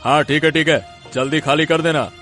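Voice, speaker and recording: A man's voice: fairly high pitch, steady tone, normal speed, fairly bright tone, faint music behind, natural voice. A man voicing a sly village land-grabber in a cartoon story, taunting and condescending. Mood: domineering.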